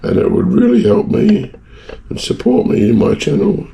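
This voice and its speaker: A man with a hoarse, failing voice from a bad cough, casually talking viewers through his home refining work in a chatty, rambling way. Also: high-pitched, big pitch swings, moderate pace, mellow. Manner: chatty, rambling, self-mocking asides. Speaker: hobbyist gold refiner and video maker. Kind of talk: casual talk-through of a lab process.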